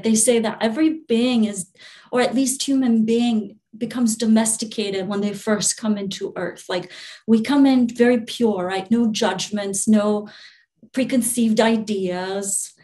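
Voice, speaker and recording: A young woman from Albania, a spiritual channeler, talking in a relaxed interview, soft-spoken with frequent laughs and restarts. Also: on the high side.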